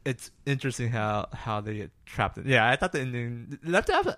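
A man co-hosting a casual film review chat, agreeable and quick to echo what is said. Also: medium pitch, animated, bright, forceful tone. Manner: agreeable, often echoing the other speaker. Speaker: podcast co-host.

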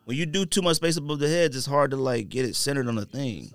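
A man hosting a podcast in casual, jokey banter, telling things in an animated, exaggerated way. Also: mid-range voice, fast. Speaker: podcast host.

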